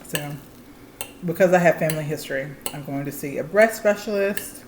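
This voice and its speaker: A woman's voice: low voice, normal speed, echoey room, a little hiss or hum. A woman in her thirties chatting candidly and explaining things plainly to viewers as a channel co-host. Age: 30s. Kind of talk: casual chat.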